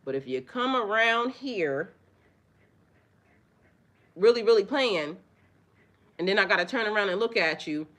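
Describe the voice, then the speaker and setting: A loud, animated woman leads her own spiritual reading vlog. She talks directly to her viewers, swears freely, and breaks into laughter often. Her voice sits at an ordinary middle pitch. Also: unhurried, pauses often. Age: adult with children. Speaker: spiritual reader and channel host.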